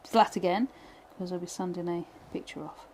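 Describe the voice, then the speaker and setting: A woman's voice: fairly low pitch, steady tone, moderate pace, a little mellow, slight background noise. Chatty British woman teaching wood burning. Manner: chatty, self-deprecating, thinks aloud with many fillers. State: voice going hoarse. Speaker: pyrography instructor. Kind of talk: live tutorial chat while working.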